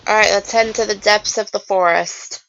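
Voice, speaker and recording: A woman's voice: medium pitch, steady tone, normal speed, vocal fry, fairly bright tone, muffled recording. A woman streamer giving casual commentary while she plays a game.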